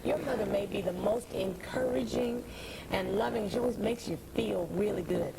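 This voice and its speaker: A woman's voice: mid-range voice, very animated, normal speed, creaky. A woman co-hosting a talk show, asking curious questions. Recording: noisy TV studio with audience.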